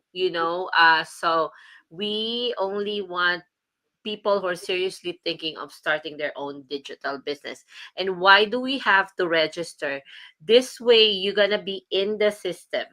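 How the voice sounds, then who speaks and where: A woman's voice: fairly low pitch, unhurried, a bit bright, rough recording. A woman from the Philippines hosting a casual livestream as a business coach, chatty and upbeat, laughing often.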